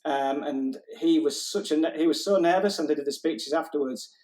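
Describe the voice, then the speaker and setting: A man's voice: on the high side, fairly quick, darkish tone. A man, a wedding photographer chatting casually and telling anecdotes with the odd laugh.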